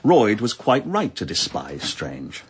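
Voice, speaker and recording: An actor playing a brisk, self-assured male police inspector in a radio drama. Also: lowish voice, huge pitch swings, slight background noise, exaggerated voice. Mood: stern. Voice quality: brisk and authoritative.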